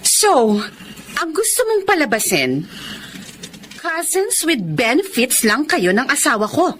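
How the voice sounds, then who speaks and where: A high-voiced Filipina wife in a radio drama, hurt yet resolute as she confronts betrayal. Her delivery swings widely in pitch, over noticeable background noise. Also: big pitch swings, slow pace, a bit bright, full character voice. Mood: cold, accusing.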